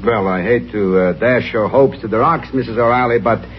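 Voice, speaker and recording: An actor playing a pompous, penniless music maestro in a 1950s radio sitcom, stammering when caught out. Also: male voice, mid-range voice, normal speed, slight background noise, close to an everyday voice. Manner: pompous, then sheepish, with comic stammers. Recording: dull old radio broadcast with studio audience.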